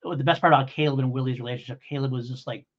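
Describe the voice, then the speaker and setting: Male review co-host: conversational, meandering explainer, agreeable, average pitch, somewhat muffled recording. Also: very fast. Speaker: podcast co-host. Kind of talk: casual audio drama review.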